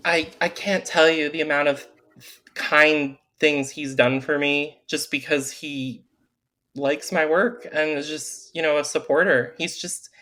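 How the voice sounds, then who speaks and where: A man's voice: fairly high pitch, fairly even intonation, unhurried. A man being interviewed as an author, speaking earnestly and fondly in casual chat, with frequent fillers. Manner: earnest, warm-hearted, with fillers like "like" and "um". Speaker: author guest.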